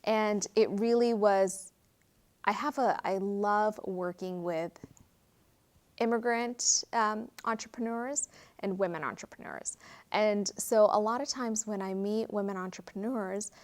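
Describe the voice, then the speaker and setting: An earnest woman in her thirties from Fiji talks through her work as an attorney in a relaxed interview. Her pitch sits in an ordinary middle range, and she speaks reflectively, pausing often with "um" and "you know" as she gathers her thoughts. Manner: earnest, reflective, frequent fillers. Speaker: guest, real estate attorney. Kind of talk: interview conversation.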